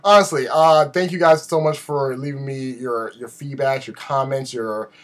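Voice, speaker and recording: A man giving a casual, rambling vlog update in an animated, self-deprecating way, his voice slightly raspy from a sore throat. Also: medium pitch, normal speed. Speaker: video blogger.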